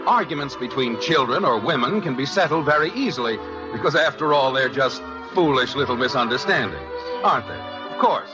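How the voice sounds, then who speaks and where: A man's voice: medium pitch, sing-song, brisk, lightly acted. A man playing a self-assured, condescending husband and father who narrates a 1950s radio comedy. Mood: smug, confiding. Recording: dull old radio recording, music.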